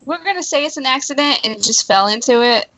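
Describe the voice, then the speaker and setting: A woman's voice: sits high, animated, fast talker, pushed and bright, no echo. A woman chatting casually as a livestream guest, with brief, laid-back remarks. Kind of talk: casual chat. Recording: dull lo-fi livestream audio.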